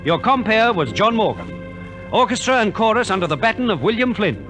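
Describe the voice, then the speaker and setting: A man narrates as compere on a 1950s radio recording. His delivery is formal and theatrical, lively in pitch and fairly high. Faint orchestral music sits behind him. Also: a little fast. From Australia.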